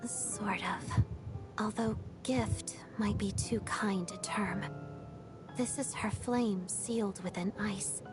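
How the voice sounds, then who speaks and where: A woman playing a melancholy young ballet dancer in a video game, speaking softly and breathily in a restrained, reflective, measured way. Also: medium pitch, over music, close to an everyday voice. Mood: quiet, regretful. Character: melancholy young female ballet dancer and former Fatui trainee. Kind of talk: video game story dialogue.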